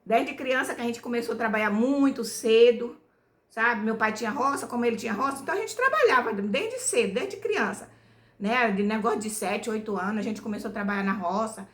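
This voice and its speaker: A woman's voice: a little high, animated, brisk, fairly bright tone. Homespun woman, echoey room. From Bahia, Brazil. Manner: homespun, rambling, tags nearly every phrase with "né?". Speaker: channel host telling her life story. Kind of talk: casual storytelling to viewers.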